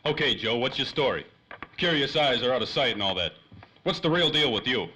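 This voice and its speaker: A man acts a hardboiled private eye in an old radio serial. His mid-pitched voice is forceful, brash and full of wisecracking slang. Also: animated, brisk, poor audio. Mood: brash, impatient. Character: tough, wisecracking male hardboiled private detective. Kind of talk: 1930s radio play acting.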